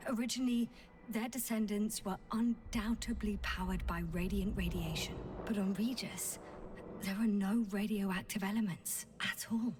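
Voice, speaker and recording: A composed female scientist played by an actress in a science fiction game. She explains things in measured, articulate phrases at a medium pitch, patient and precise, with clear background noise. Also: fairly even intonation, natural voice. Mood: patient, analytical. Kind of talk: video game dialogue acting.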